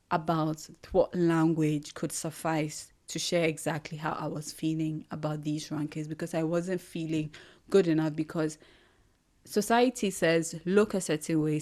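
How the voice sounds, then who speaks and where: Low-voiced Ghanaian woman reflecting. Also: darkish tone. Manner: thoughtful, earnest, pauses to search for words. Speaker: podcast host. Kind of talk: reflective solo podcast monologue.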